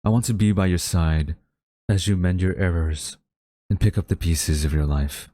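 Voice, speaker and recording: A man's voice: deep voice, dark tone, no room sound, studio quality. A man acting a haughty government official with a secretly tender side, speaking formally and theatrically in a dramatic audio roleplay. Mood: earnest, gentle. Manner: formal, theatrical, deliberate wording. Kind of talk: dramatic audio roleplay monologue addressed to listener.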